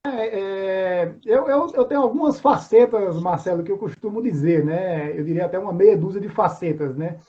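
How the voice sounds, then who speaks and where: A man's voice: high-pitched, normal speed, hardly any pauses. A man in his forties with a Northeastern Brazilian accent, chatting informally as an interview guest, often ending phrases with tag questions. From João Pessoa, Paraíba, Brazil. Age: 40s. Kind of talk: casual chat, self-introduction. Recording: dull lo-fi online call sound.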